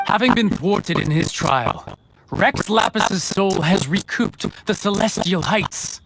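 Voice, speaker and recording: An actor playing a formal town herald in a video game, declaiming an official proclamation in archaic diction. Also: male voice, sits high, vocal fry, noisy background, heavily acted. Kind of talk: scripted video game announcement. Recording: game music behind the voice.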